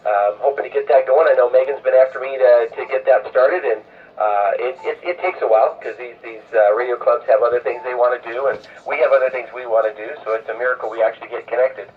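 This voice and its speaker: A man hosting an amateur radio net, giving informal announcements in a halting, hesitant way over a radio transmission. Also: mid-range voice, steady tone, recorded from a distance. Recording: narrow phone-like radio sound, off-mic.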